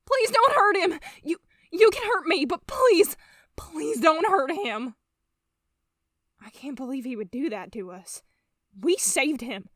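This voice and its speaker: Woman as a high, animated, emotional young heroine. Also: slow pace, long pauses, no room sound, clean studio sound, exaggerated voice. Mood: desperate. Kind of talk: dramatic character acting.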